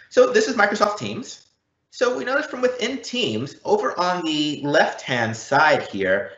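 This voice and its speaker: A man's voice: medium pitch, a bit bright. Man presenting a demo in dull, roomy sound. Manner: explanatory, walking through step by step. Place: indoor room, live event. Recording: dull, roomy live event recording.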